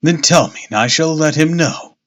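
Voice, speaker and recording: A man voicing a polite but firm gentleman, a detective's companion, in a storybook reading. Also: fairly high pitch, moderate pace, pitched above the performer's own voice, close to an everyday voice.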